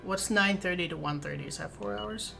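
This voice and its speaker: Minnesotan woman streamer, lowish gravelly voice, slow, lively pitch, game music behind. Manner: chatty, giggly, playful. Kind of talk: casual gameplay chat.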